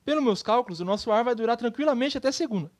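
A woman's voice: fairly high pitch, expressive, very fast, a little mellow, natural voice. A woman with a Brazilian accent voicing a carefree, teasing boy best friend in a children's comedy cartoon, breezy and colloquial. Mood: explaining.

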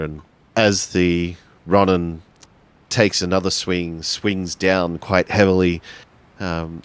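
Deep-voiced man, very slow and halting. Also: smooth, dry recording. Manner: halting, searching for words. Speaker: tabletop role-player. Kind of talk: improvised tabletop game narration.

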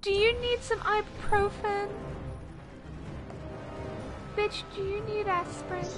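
A woman's voice: very high-pitched, fairly even intonation, slow. A woman chatting casually with friends while gaming, with playful, sweary banter. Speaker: gamer playing with friends. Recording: loud game music over voice. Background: loud video game music and effects.

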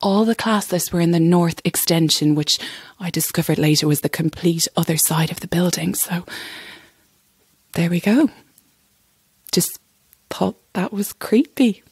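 A husky young chorus girl. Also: lowish voice, measured pace, long pauses, darkish tone, dry recording, full character voice. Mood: chatty, confiding. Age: young adult. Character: nervy young chorus girl telling a ghost story. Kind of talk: audio drama, hushed storytelling.

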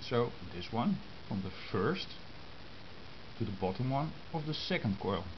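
Male tutorial presenter, informal and halting delivery, dull camera audio with clear hiss. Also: medium pitch, expressive. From Netherlands. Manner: halting, short phrases with pauses. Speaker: amateur radio hobbyist giving a tutorial. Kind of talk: informal step-by-step explanation of hand-drawn diagrams. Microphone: compact camera's built-in microphone. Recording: muffled camera microphone with hiss.

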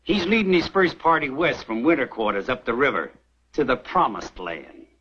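An actor playing a gruff, sly middle-aged frontier sheriff who talks in a taunting drawl. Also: male voice, mid-range voice, expressive, moderate pace, pushed and bright. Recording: dull old TV soundtrack with faint hiss.

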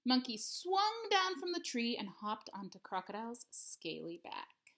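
A woman's voice: a little high, very animated, a little slow, fairly bright tone. A woman in her thirties reading a picture book aloud expressively for children, at home. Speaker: storybook reader. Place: living room at home.

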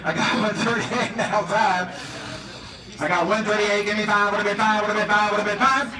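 An American man calls an auction in a high-pitched, rhythmic chant, repeating bids and prodding bidders. He is outdoors and heard from a distance, with echo on his voice and a crowd around him. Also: steady tone, measured pace. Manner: repetitive, rhythmic bid calling, pushing bidders. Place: outdoors on a marina dock. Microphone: picked up by a distant camera.

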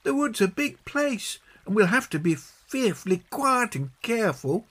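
A man's voice: high-pitched, sing-song, measured pace, fairly bright tone, voice pushed up, full character voice. A man voicing a bossy, resourceful young girl who takes the lead in a children's storybook, sounding assured and instructive. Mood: earnest, bossy.

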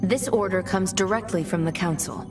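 A woman's voice: medium pitch, normal speed, close to an everyday voice. A woman voicing a formal, commanding android officer giving orders in a video game. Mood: official. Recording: distant, loud music behind.

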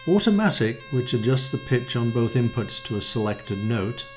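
A man in his sixties giving an explanatory, semi-improvised product demo in a measured, hesitant manner with frequent pauses. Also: mid-range voice, sing-song, music in the background. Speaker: module designer presenting a demo. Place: small home studio room, indoors. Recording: roomy, echoey home room.

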